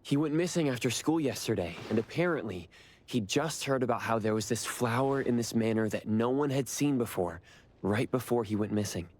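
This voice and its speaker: An actor playing an earnest, polite young investigator in an anime video game, speaking in a straightforward manner. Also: male voice, mid-range voice, a little fast, a little mellow, close to an everyday voice. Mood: serious.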